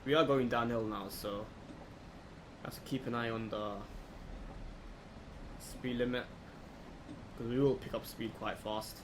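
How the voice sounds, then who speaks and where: A man giving casual, low-key let's play commentary, thinking aloud as he goes. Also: measured pace, pauses often. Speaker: gaming video host. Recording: clear background noise, steady hum.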